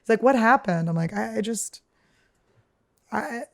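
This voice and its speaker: Deep-voiced woman rambling crudely. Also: lively intonation, a little slow, vocal fry. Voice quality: deep, damaged-sounding voice. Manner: talks nonstop, crude and self-mocking. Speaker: podcast host. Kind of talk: rambling comedic storytelling.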